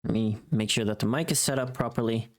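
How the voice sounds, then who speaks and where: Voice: medium pitch, fairly even intonation, fast talker, slight background noise. A livestreamer chatting casually while working, rambling and muttering to themself. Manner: rambling, muttering to self, humming. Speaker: livestreamer, voice actor.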